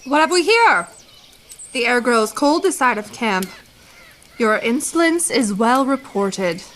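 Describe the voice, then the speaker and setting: A woman's voice: on the high side, lively intonation, measured pace, fairly bright tone, slight background noise. A woman playing a pompous, scheming army commander in a radio theatre drama, speaking formally and smugly. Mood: haughty, threatening. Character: haughty, scheming army commander, vindictive and smug.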